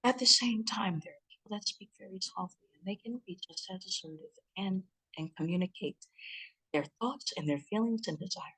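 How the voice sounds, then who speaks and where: A relationship educator with a deep, booming chest voice, giving a lively instructional talk. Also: normal speed, slightly rough, poor audio. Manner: lively, breaks into acted quotes and laughs.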